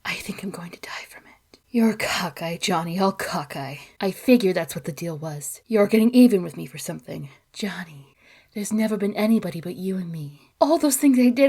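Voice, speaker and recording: Lady playing a breathy noir femme fatale, low and taunting, old movie style. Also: a little mellow. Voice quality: breathy, half-whispered. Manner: taunting, bitter. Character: sultry bitter woman in 1940s film noir. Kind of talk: 1940s film acting.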